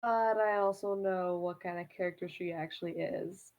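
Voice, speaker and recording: A woman chatting casually on a stream, teasing and tossing in quick asides. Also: lowish voice, fairly even intonation, lo-fi sound. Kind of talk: casual chat.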